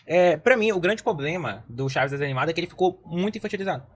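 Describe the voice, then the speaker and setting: A Brazilian man with a highish voice talks at a very rapid clip. He gives slangy, opinionated reactions and circles back over his own points. A faint noise sits behind him. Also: expressive. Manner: slangy, opinionated, repeats himself. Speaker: reaction video host. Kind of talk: casual reaction commentary.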